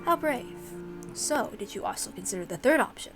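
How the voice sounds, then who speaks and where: A woman voicing a polite, suave male demon butler who speaks formally in a measured, condescending and cruelly amused way, as in a visual novel. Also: on the high side, expressive, music in the background. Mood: quiet amusement.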